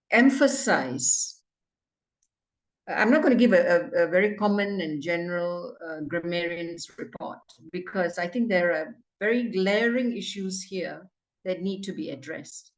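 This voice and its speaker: A woman's voice: on the low side, lively intonation, a little slow, far from the mic. A woman with a Malaysian accent, giving a stern, emphatic language evaluation at a club meeting. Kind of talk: club meeting report on a video call.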